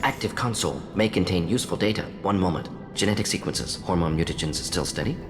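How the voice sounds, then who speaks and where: A man playing a brisk, clinical, proud and principled alien scientist in a video game, speaking in clipped telegraphic phrases. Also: low-pitched, lively intonation. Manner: clipped telegraphic phrases, dropping small words. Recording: reverberant hall, music underneath.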